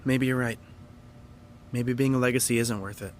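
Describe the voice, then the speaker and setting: A man's voice: medium pitch, steady tone, moderate pace, slight background noise, close to an everyday voice. An actor playing an uneasy, guilt-ridden young man in an audio drama, speaking in a troubled, hesitant way. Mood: uneasy, wary.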